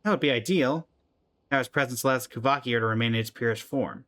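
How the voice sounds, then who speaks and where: A man reading aloud the lines of an ancient, gentle, regretful woman guardian in a video game, in a calm, measured way. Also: medium pitch, lightly acted. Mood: solemn.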